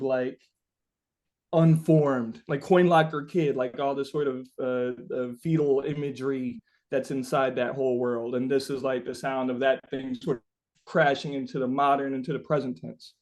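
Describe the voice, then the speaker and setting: A man being interviewed as a musician, talking casually and haltingly as he searches for the right words. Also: mid-range voice, darkish tone.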